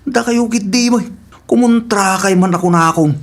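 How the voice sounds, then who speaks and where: A woman's voice: medium pitch, moderate pace, close to an everyday voice. A woman playing an eager, teasing bachelor nephew in a comedic radio drama, with a Filipino accent and a playful, chuckling manner. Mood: sheepish, teasing.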